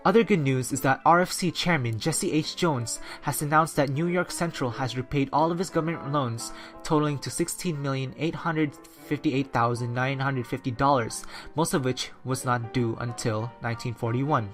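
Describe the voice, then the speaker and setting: A radio narrator and newscaster reads in a steady, scripted manner at a middling pitch, like a school recreation of a 1930s broadcast. Soft music sits faintly behind the voice. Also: normal speed. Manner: steady, read-from-script delivery. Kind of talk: read-aloud 1930s-style radio narration and news.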